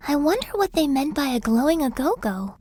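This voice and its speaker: A woman's voice: high voice, very animated, fairly quick, warm tone, dry recording, soft background music. A woman voicing a friendly, inquisitive girl in a video game, with a kindly manner. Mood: curious. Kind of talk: English-language video game voice acting.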